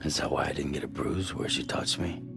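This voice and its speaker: Very deep, gruff man. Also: steady tone, brisk, music in the background. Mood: terse, puzzled. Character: gruff, terse male courier asking questions. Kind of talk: video game cutscene acting.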